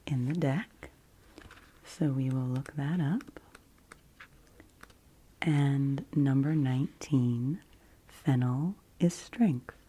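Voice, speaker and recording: A woman in her forties gently presenting in a hushed, partly whispered ASMR style, unhurried and close to the microphone. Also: rumbling low, husky. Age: 40s. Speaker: ASMR host. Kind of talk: soft ASMR card reading, partly whispered.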